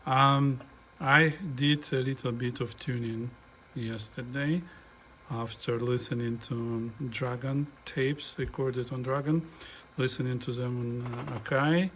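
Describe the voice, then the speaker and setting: A man giving a casual hands-on demonstration, murmuring halting step-by-step remarks as he works, recorded on a phone. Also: medium pitch, steady tone, a bit bright, a little hiss or hum. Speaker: hobbyist demonstrating a tape deck repair. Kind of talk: casual hands-on repair demonstration, talking while working. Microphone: phone held in front of him.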